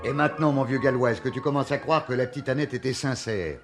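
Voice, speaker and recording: A man's voice: medium pitch, fast, over loud music, natural voice. A man playing a persuasive, insistent sleuth in a French radio mystery, reasoning emphatically. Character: insistent, persuasive amateur sleuth pressing the police.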